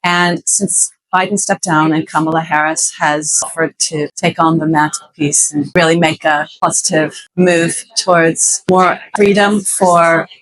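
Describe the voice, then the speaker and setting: A woman's voice: deep voice, steady tone, measured pace, few pauses, fairly bright tone, low-quality recording. A woman giving a heartfelt, effusive answer to an interviewer. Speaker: event attendee interviewee.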